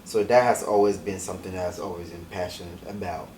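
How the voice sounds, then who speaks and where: A young man from Ghana, an artist, answering interview questions in a soft-spoken, hesitant way with many ums. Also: low voice, fairly even intonation, fast. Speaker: guest artist. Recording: echoey room, distant mic, hiss.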